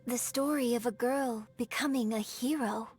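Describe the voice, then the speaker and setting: A woman playing a poised, eloquent young opera performer in a video game, telling things in a measured, theatrical way. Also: fairly high pitch, natural voice. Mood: dramatic storytelling. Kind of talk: video game character acting. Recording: background music.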